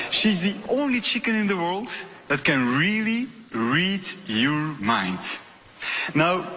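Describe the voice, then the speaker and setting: High, animated man on stage. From Belgium. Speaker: stage magician with animal act. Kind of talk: stage patter to audience. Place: theatre stage. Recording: live stage with audience noise.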